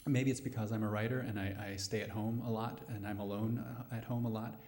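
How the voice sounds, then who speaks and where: A middle-aged man speaking thoughtfully and haltingly as a playwright answering questions at a live post-show discussion. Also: fairly low pitch, fairly even intonation, a little mellow. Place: live radio play venue before a studio audience.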